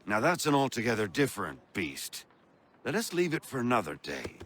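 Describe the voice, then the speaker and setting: An actor playing a guarded, genial colonial patriot in a game cutscene, giving advice in mannered, formal phrases. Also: male voice, medium pitch, wildly swooping pitch, a little fast, fairly bright tone, a little hiss or hum. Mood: guarded, advisory. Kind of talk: video game cutscene acting.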